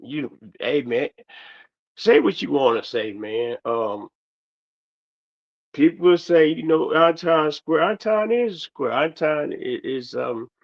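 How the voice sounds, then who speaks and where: A man's voice: on the high side, lively intonation, slow pace, muffled recording. A man in his thirties who is losing his voice gives a rambling, casual commentary as a show host, often trailing off mid-sentence.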